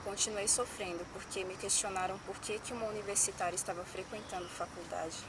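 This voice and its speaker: A young Brazilian woman giving an earnest interview testimony, in short phrases with many pauses for agreement. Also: mid-range voice, steady tone. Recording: outdoors from a distance, loud traffic noise.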